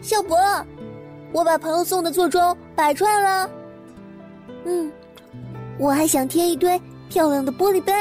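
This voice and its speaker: Audio drama heroine, female actor: timid twenty-five-year-old, high and mellow, introspective narration, echoey space. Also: moderate pace, long pauses, faint music behind. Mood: delighted. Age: young adult. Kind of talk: Mandarin audio drama acting with first-person narration.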